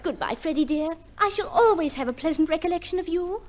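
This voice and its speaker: An actress playing a breezy, mercenary society wife with an airy, genteel manner in a 1950s radio play, on an old recording. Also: female voice, very high voice, expressive, brisk, some chatter behind. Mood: breezy, cool.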